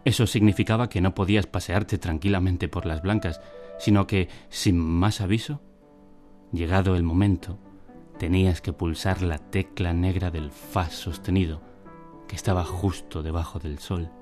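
A man's voice: low voice, fairly even intonation, normal speed, darkish tone, soft background music, lightly acted. An actor playing a man who recounts his boyhood in wry, literary radio drama narration. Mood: wry, nostalgic. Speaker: first-person narrator.

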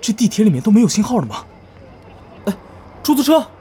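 A man's voice: sits high, big pitch swings, a little fast, warm tone, slight background noise. A man acting a frantic, worried young man in a hurry, in an audio drama.

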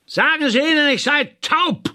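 Shrill, stubborn old-school composer. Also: male voice, normal speed, sharp tone, full character voice. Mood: gruff, defiant. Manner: curt, defiant, declamatory. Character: stubborn, gruff, deaf male composer at his piano. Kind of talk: comic radio play acting.

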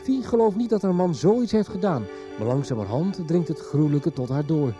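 A man's voice: a little high, animated, normal speed. Male radio drama narrator, scripted recap delivery with music underneath.

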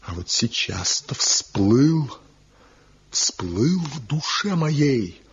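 A man's voice: medium pitch, big pitch swings, very slow, slightly rough, exaggerated voice. A fervent, reckless rival master craftsman. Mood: exalted. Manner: fervent, theatrical, swings to ecstatic cries. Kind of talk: 1970s radio play acting. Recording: dull lo-fi old radio recording.

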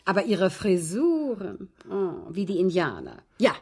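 A ladylike old widow in a radio drama, with a husky, breathy voice that rises and dips expressively. She speaks precisely and without hurry, composed and slyly evasive about what she has done. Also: medium pitch, huge pitch swings, a little slow, a little mellow, heavily acted. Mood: wry indignation. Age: older. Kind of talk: German radio drama acting.

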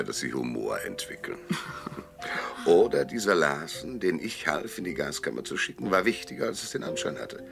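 Very deep, gravelly hardboiled detective. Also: male voice, full character voice. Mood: wry, pondering. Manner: dry, laconic, sardonic. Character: hardboiled, cynical male private detective, narrator of his case. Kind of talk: German radio crime drama, first-person noir narration and dialogue.

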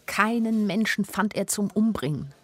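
A woman's voice: medium pitch, lively intonation, normal speed, fairly bright tone. An actress playing a weary, lovesick young woman who narrates a radio play in an introspective, lyrical way. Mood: weary wonder. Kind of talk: literary first-person radio play narration. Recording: studio radio play with faint music.

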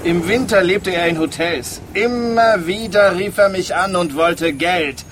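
A man's voice: high-pitched, a little slow, fairly bright tone, slight background noise. A man playing an aggrieved, harried culprit in a radio play, complaining and put-upon. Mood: bitter, resigned. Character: aggrieved, harried male culprit confessing bitterly. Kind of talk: German-language radio play acting.

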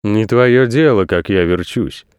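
Mother planet for a storybook, read by a man: serene, reproving, unhurried, very dry recording. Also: lowish voice, smooth, no room sound, lightly acted. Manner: calm, measured, reproving. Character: planet Earth, calm, stern, unhurried female being. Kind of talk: children's story reading.

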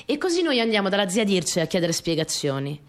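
A woman's voice: mid-range voice, big pitch swings, a little fast, lightly acted. An actress playing a young peasant girl who recounts her rural family life in simple, repetitive phrases for a radio play. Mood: storytelling. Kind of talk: first-person dramatic storytelling.

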